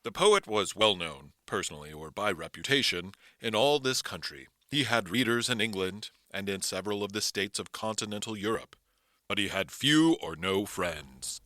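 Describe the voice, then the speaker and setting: Male actor as solemn Victorian-era newspaper obituarist, male: lowish, hard-edged voice, grave and declamatory, for a dramatic story reading. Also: expressive, normal speed, pushed and bright, dry recording, studio quality. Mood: grave, cold. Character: solemn, stern 19th-century obituary writer.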